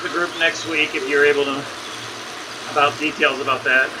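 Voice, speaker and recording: A man's voice: fairly high pitch, fairly even intonation, moderate pace, noisy background. A man in his early fifties, the ride organizer, chatting casually and thanking the group. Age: 50s. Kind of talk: casual chat.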